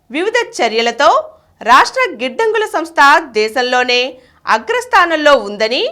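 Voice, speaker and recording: A woman's voice: high voice, pushed and bright. A woman reading scripted news reports in a formal announcing manner. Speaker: news reader.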